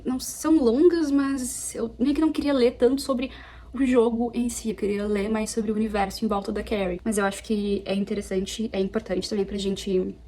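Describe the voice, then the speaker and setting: A young woman from Brazil, chatting casually at home as she reviews a book, animated and often correcting herself. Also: fairly high pitch, normal speed. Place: apartment at home.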